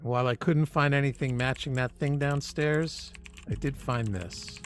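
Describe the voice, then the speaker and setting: A man's voice: mid-range voice, unhurried, a little hiss or hum, close to an everyday voice. A man playing a persistent amateur researcher with a casual, wry manner in an audio drama. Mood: intrigued, wry.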